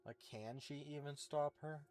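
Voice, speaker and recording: A game streamer giving informal, halting reaction commentary, thinking aloud. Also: medium pitch. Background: soft game music.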